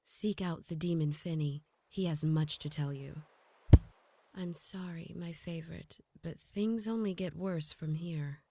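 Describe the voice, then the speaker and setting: A woman playing a solemn, calm guardian angel with a measured delivery in an audio drama. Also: sits low, no room sound. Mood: soothing.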